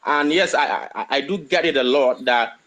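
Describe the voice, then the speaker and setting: Man, rabbi, discussion guest, hesitant, many pauses, mid pitch. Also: a bit bright, rough recording. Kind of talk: live online discussion.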